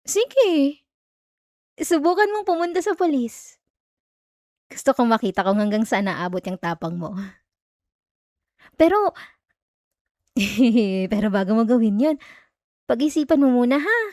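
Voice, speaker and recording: High-voiced obsessive yandere stalker woman, acted out. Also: darkish tone, dry recording, clean studio sound. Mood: sweetly menacing. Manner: coaxing, giggly, veiled threats, halting stammers. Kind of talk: ASMR roleplay.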